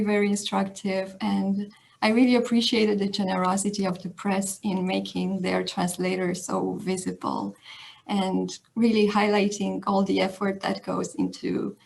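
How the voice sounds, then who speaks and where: A woman moderates an online panel and poses long, measured questions broken by fillers. Her voice is gravelly with plenty of fry and stays quite flat in pitch. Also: rough recording.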